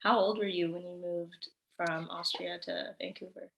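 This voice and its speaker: Low-voiced woman hosting a chat. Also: steady tone, moderate pace, vocal fry, rough recording. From born in England, raised in Canada. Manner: warm, encouraging, frequent "mm" and "um". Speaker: podcast host. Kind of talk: casual interview chat.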